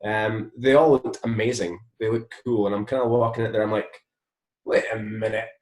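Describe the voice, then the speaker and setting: A Scottish man, a musician being interviewed, telling anecdotes casually in a rambling, animated way. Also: on the low side, moderate pace, reverberant, poor audio. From Scotland.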